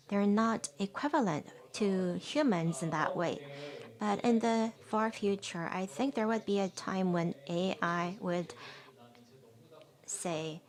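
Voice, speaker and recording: Female interpreter for a keynote, mid-range voice, calm and measured, the odd restart. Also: medium pitch.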